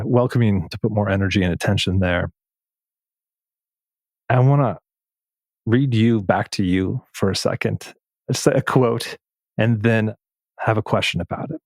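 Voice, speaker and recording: A man interviewing in a reflective, measured way, halting and pausing between short phrases. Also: fairly low pitch, a little mellow, dry recording. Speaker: podcast host, interviewer. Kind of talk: reflective interview conversation, some reading aloud.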